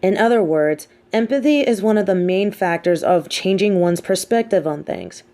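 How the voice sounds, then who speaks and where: Mellow, sardonic supervillainess played by a woman. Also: medium pitch, expressive, moderate pace, natural voice. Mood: philosophical, wry. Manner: mocking, theatrical, conversational with swooping emphasis. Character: sardonic, teasing female supervillain with a hidden soft side. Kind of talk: scripted audio roleplay monologue spoken to the listener.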